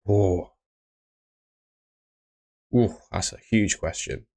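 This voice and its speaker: Young male streamer, low voice, relaxed and chatty, reading viewer comments. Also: extremely slow. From Bristol, England. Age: young adult. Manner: relaxed, chatty, answers chat questions. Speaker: fantasy football streamer. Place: small room indoors.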